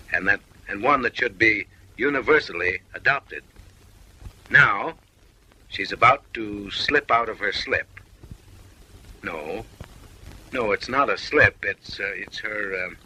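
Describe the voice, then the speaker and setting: Wry, sharp-voiced male comic narrator. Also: fairly low pitch, slightly rough, low-quality recording. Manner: mock-refined, wry and suggestive, lecturing the ladies with teasing asides. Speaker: film narrator. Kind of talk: wisecracking comic voice-over narration.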